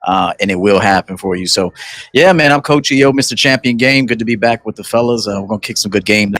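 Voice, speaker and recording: Male coach guest, lowish dry voice, motivational, repeats for emphasis. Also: fast talker, a bit bright, dry recording. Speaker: dating coach, podcast guest. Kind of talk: casual panel chat.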